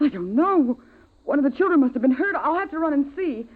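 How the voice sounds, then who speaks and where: A fussing suburban mother in old radio drama style. Her voice is fairly high and very expressive, both fretful and firm. It sounds thin and tinny, like an old broadcast. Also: a little fast. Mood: fussing, uneasy. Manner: fussing, chatty, exasperated with her child. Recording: narrow, tinny vintage radio recording.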